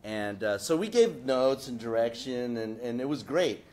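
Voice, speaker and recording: A man's voice: mid-range voice, expressive, moderate pace, darkish tone. A man on a discussion panel telling a casual, rambling anecdote full of fillers, getting indignant as he goes.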